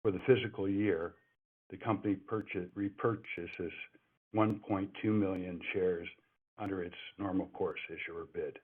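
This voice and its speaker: Deep-voiced man on a tinny phone line. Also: fairly even intonation, a little slow, breathy edge. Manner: reads from a script with frequent restarts and self-corrections. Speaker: company executive. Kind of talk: prepared earnings call remarks. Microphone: telephone. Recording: phone-line conference call audio.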